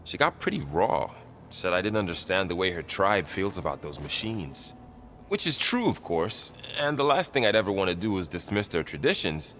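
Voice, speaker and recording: An earnest, animated young warrior. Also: male voice, deep voice, normal speed, a little hiss or hum. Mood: earnest, sheepish. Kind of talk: naturalistic video game acting.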